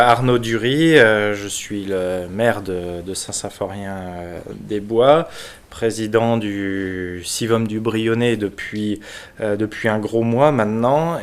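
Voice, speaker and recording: A man from France who is a local mayor, giving an unscripted explanation in a halting, hesitant manner with frequent pauses. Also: lowish voice, smooth. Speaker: village mayor and local councillor.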